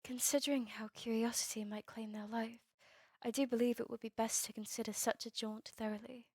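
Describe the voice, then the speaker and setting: A woman playing a melancholy, courteous vampire in an ASMR roleplay drama, with formal, old-fashioned, measured phrasing. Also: a little high, flat delivery, darkish tone, no echo. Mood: wry, grave. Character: melancholy, courteous female vampire, turned young over a century ago.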